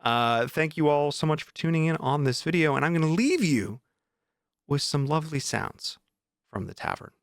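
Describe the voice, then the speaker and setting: A man's voice: fairly high pitch, expressive, normal speed, no echo, studio quality. A man chatting casually as a vlog host, informal and enthusiastic about his writing. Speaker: vlog host and indie author.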